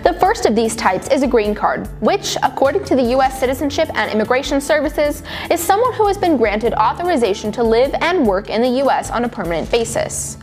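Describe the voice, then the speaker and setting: A woman's voice: sits high, big pitch swings, brisk, hardly any pauses, darkish tone, soft background music. A woman reading scripted documentary narration.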